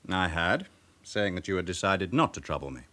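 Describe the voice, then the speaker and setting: A proud, guarded businessman in a radio drama. He speaks low, with wide swings of pitch, in a formal and controlled way that turns faintly haughty. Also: sing-song, a little fast, sharp tone, heavily acted. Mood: guarded, courteous. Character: smooth respectable businessman with a past, guardedly proud. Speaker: actor in a police drama. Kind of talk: naturalistic radio drama acting.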